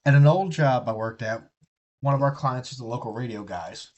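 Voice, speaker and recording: A man with a drawl rambles through loose, folksy chat on a live stream. He swears freely and drifts from one thought to the next, in a voice of middling pitch. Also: normal speed, darkish tone, muffled recording. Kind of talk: casual rambling chat while building a kit.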